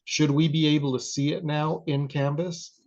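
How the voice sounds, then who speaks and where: A man's voice: on the high side, normal speed. A man, a PE teacher, introducing himself in an online meeting with fillers. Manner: flat, with fillers. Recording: dull, lo-fi online call audio.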